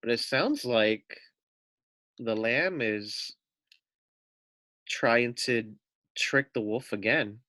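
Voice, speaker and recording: A man's voice: medium pitch, very slow, pauses often, sharp tone, no echo. A man reading a children's story aloud expressively, with simple explanatory asides for young listeners.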